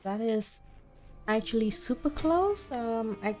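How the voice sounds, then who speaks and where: A woman's voice: on the high side, expressive, takes it slowly, darkish tone. A woman game streamer giving casual live reactions as she plays. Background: game music.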